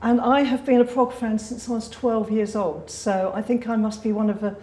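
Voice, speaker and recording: A woman's voice: a little high, fairly even intonation, normal speed, dark tone, reverberant. A woman who introduces herself in a chatty, self-aware way.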